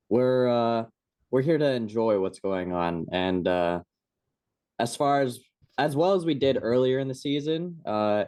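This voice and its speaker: A man playing a laid-back male team owner-manager, relaxed and mumbling with fillers. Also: fairly low pitch, no echo, close to an everyday voice.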